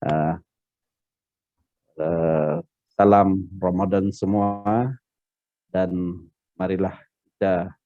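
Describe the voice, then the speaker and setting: Very deep, flat-voiced Malaysian man. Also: dry recording, muffled recording. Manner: hesitant, many pauses and fillers. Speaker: community president giving opening remarks. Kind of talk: formal welcome speech.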